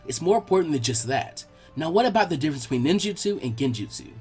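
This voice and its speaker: Nerdy girl tutor, read by a man: courteous, encouraging, slightly awkward, animated, with music underneath. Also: fairly high pitch, fast talker. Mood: instructive.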